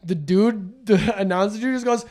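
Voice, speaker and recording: A man in casual comic podcast chat, animated and slangy, swearing freely and often amused. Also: high voice, normal speed. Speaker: comedy podcast host.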